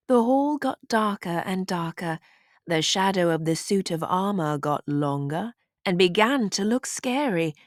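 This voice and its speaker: A woman narrating a children's storybook aloud in an expressive, deliberate way. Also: lowish voice, dry recording, clean studio sound.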